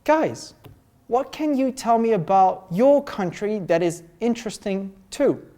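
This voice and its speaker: Slow upbeat man teaching English. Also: sits high, animated, warm tone. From Russia. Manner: upbeat, addresses viewers directly as guys. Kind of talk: scripted lesson monologue.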